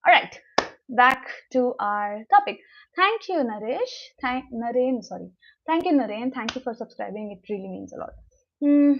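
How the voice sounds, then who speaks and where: A young woman with an Indian English accent, a Konkani native speaker, talks in a loose, rambling way on a motivational livestream and stops to answer viewer comments. Her voice sits a little high. The audio is dull and lo-fi. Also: expressive, normal speed. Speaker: livestream host. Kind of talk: casual motivational livestream chat. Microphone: new mic, livestream.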